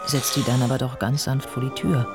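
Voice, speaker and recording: An actor playing an observant, detached literary narrator in a radio play, measured, over music. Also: male voice, mid-range voice, flat delivery, lightly acted.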